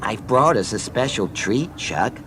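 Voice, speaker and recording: A man's voice: mid-range voice, lively intonation, unhurried, noisy background, natural voice. A man voicing a sweet, doting American woman tourist for a storybook, gushing and affectionate. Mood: gushing, fond. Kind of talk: storybook character voice.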